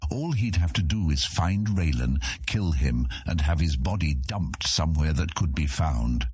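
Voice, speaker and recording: A man's voice: very deep voice, expressive, measured pace, a little mellow, slightly rough, no room sound, studio quality. A man narrating a fantasy audiobook in a scripted, dramatic storytelling manner.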